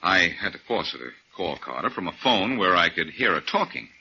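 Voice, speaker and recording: An actor playing a confident, wisecracking amateur detective in a breezy, wry 1940s radio acting style. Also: male voice, sits low, big pitch swings, fairly quick, sharp tone, slightly rough, full character voice. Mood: wry, playful. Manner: breezy, self-assured, wry. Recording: muffled 1940s radio recording.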